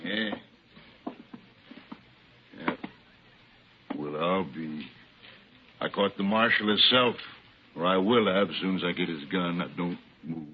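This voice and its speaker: Low-voiced, menacing western outlaw. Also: male voice, takes it slowly, long pauses. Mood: smug. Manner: drawling, threatening, folksy rural speech. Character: menacing, smug male outlaw bank robber and killer. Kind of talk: 1950s radio western acting. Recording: muffled, faintly noisy old radio recording.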